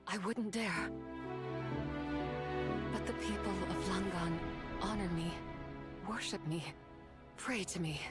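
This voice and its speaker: An actress playing a compassionate, dutiful goddess in a video game, earnest, sorrowful and formal. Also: female voice, medium pitch, slow, pauses often, close to an everyday voice. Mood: sorrowful, resolute. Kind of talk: dramatic video game voice acting. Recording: loud music behind the voice.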